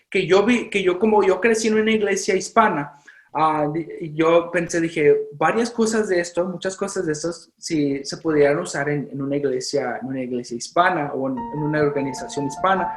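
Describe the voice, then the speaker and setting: A man's voice: a little high, normal speed, roomy sound. A man, a youth pastor from Chicago whose first language is Spanish, talking in a casual interview with many restarts and English fillers. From Chicago, United States. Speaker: youth pastor and podcast guest.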